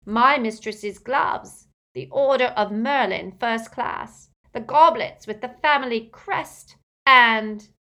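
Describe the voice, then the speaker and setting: A woman voicing an aged, servile male house-elf for a storybook, croaking, muttering and gasping in bitter anguish. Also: a little high, pushed and bright, voice pushed up, exaggerated voice. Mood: tormented, breathless. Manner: muttering, gasping, speaks of self in third person.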